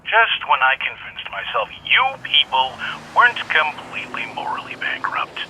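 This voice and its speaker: An actor playing a gruff, weary big-city police detective with a blunt, businesslike manner on a phone call. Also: male voice, fairly high pitch, very animated, moderate pace, bright, forceful tone, slight background noise, exaggerated voice. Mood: curt.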